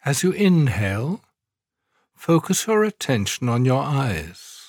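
Male meditation narrator, unhurried and calm, mid-range voice, soothing scripted guidance with generous pauses. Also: medium pitch, no room sound, studio quality. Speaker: meditation guide, podcast host. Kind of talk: guided yoga nidra relaxation meditation, scripted narration.

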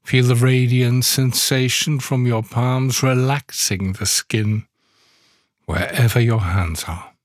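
Man guiding meditation, slow and dry. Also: medium pitch, fairly even intonation, no echo. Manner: slow, soothing instruction with long pauses. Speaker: meditation guide. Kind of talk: guided yoga nidra meditation.

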